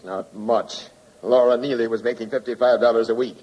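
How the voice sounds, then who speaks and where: An actor playing a sarcastic, hard-nosed American police captain with a gruff, emphatic manner, in 1940s radio drama style. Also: male voice, mid-range voice, steady tone, a little fast, a little mellow, close to an everyday voice, low-quality recording. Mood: businesslike.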